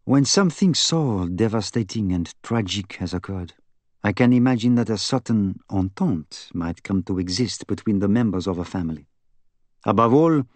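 A man's voice: on the low side, a little mellow, no echo, close to an everyday voice. Audiobook role: male actor as earnest, fussy middle-aged Belgian detective, French-speaking accent, precise and insistent, very dry close sound. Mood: lecturing. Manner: precise, formal, emphatic phrasing. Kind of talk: audiobook character acting.

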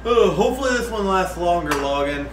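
A man's voice: high-pitched, lively intonation, brisk. A drunk man bantering casually on a livestream, joking and swearing as he goes. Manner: tipsy, profane joking. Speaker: livestream co-host. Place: indoors in a home kitchen. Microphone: distant room mic. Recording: roomy, distant mic, faint noise.

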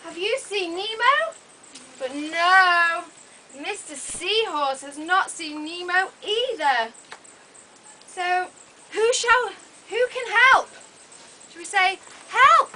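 Casting: woman storyteller for young kids, bright forceful voice, unhurried simple phrasing, live camera recording with background noise. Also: very high-pitched, expressive. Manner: exclamatory, dramatic gasps, simple short phrases for young children. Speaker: puppet show narrator. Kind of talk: live children's storytelling with audience questions. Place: open-sided thatched hall with audience of children. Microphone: camera microphone from audience. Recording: noisy open-air hall recording.

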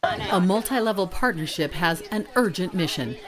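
A woman reading scripted news-style narration in a formal, measured way. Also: on the low side, expressive, crowd noise.

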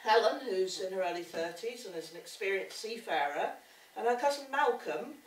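A woman's voice: medium pitch, expressive, normal speed. Female author, informal book introduction, roomy far-off stage sound with faint ambience. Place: on a theatre stage. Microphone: lectern microphone. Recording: distant, echoey theatre with room noise.

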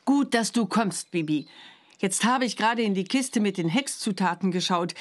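An animated, kindly witch mother. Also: mid-range voice. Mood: flustered. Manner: motherly, expressive, explaining. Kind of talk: cartoon game voice acting.

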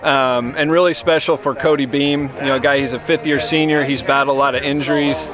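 A man in his thirties, a head baseball coach, giving a postgame interview outdoors at a ballfield at night, measured and full of you-knows. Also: mid-range voice, fairly even intonation.

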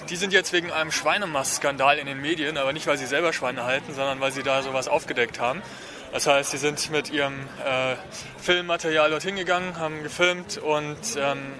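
A man giving an informal, colloquial and somewhat hesitant interview as an activist, recorded outdoors. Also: on the high side. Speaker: animal rights activist being interviewed. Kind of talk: casual, informal spoken interview. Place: outdoors at a city square. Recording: noisy outdoor street recording.

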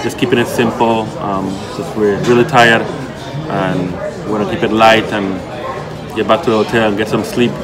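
A man chatting casually to viewers as a travel vlogger in a low-key, conversational way. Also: level tone, normal speed. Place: inside a restaurant. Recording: music playing behind the voice. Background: music.

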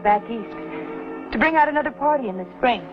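An actress playing a plainspoken young pioneer woman, on a dull old TV soundtrack with loud music. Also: fairly high pitch, expressive, a little fast, close to an everyday voice. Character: frank young female pioneer settler. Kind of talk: 1950s TV western acting.

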